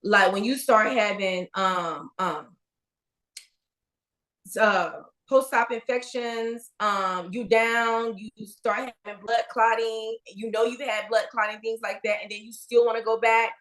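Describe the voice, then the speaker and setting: A woman's voice: a little high, steady tone, a little slow, fairly bright tone. A woman in her forties co-hosting a podcast, talking candidly and casually with many fillers and restarts. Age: 40s. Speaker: podcast co-host, registered nurse. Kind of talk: casual chat.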